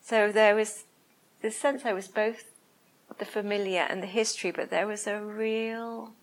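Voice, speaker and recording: Soft-voiced woman teaching meditation. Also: medium pitch, steady tone, low-quality recording. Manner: slow, hesitant, searching for words. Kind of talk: reflective dharma talk and guided meditation. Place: room with a listening group.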